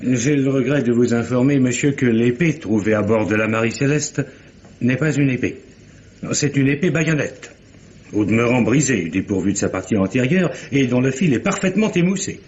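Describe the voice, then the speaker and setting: An actor playing a pedantic, unflappable scientific doctor, precise and formal, in a vintage French radio mystery. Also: male voice, mid-range voice, animated, moderate pace, a little mellow, close to an everyday voice. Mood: dry, pedantic. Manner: precise, formal, dryly contradicting. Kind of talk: 1950s-style French radio mystery acting. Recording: dull vintage radio recording, faint noise.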